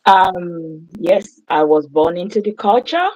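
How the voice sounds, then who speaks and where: A Yoruba-speaking woman with a Nigerian accent answers interview questions in a calm, reflective way. Her voice sits low and she speaks slowly. The audio comes through slightly dull. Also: dry recording. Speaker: guest.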